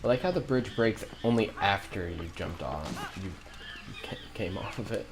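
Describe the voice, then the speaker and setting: A young man joking and chatting while playing a video game, relaxed and quick to laugh. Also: fairly low pitch. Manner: laid-back, joking, laughs often. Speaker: let's play co-commentator. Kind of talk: casual gaming chat. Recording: game music behind.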